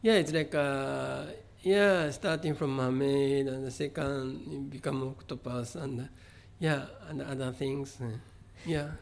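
A middle-aged man from Japan with a Japanese accent, answering interview questions about his art in a halting way, with many fillers and restarts. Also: medium pitch, gravelly. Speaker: visual artist, interview guest. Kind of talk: conversational interview.

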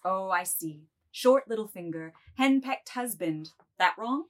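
A woman's voice: medium pitch, expressive, a little slow, close to an everyday voice. A woman playing a saucy young prostitute who reads palms, teasing and pert, in a dramatised reading. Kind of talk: dramatised novel table reading.

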